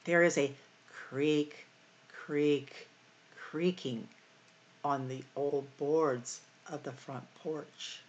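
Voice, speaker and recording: A middle-aged woman hosting a library storytime, reading picture books aloud in a deliberate, expressive way for young children. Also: sits low, lo-fi sound. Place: small room at home or office, indoors.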